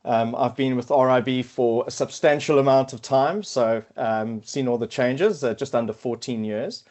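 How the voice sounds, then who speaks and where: A middle-aged man speaks with a South African accent in a casual podcast chat. His voice sits in an ordinary middle pitch. He hesitates often, repeating words and filling gaps with ums and uhs as he thinks aloud. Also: normal speed, a little mellow. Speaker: podcast guest, quantity surveyor.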